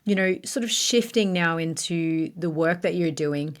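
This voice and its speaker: A woman's voice: lowish voice, unhurried. A woman co-hosting a podcast, emphatically repeating her guest's point before moving on to a new question. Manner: emphatic, appreciative. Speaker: podcast co-host. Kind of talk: interviewer recapping and moving on.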